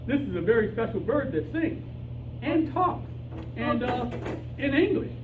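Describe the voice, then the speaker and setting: A man's voice: extremely high pitch, very animated, a little slow, exaggerated voice. A man playing an anxious, naive amateur detective in an old-time radio comedy, hesitant and earnest. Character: anxious, naive male amateur detective hunting his lost canary. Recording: echoey room from far off, noisy.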